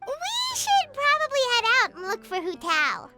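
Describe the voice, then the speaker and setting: A woman voicing a tiny, excitable fairy companion with a squeaky childlike voice in an English video game. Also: normal speed, over loud music, heavily acted. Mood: cheerful. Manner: speaks of herself by name in third person. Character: tiny excitable floating fairy companion girl, chirpy and food-loving.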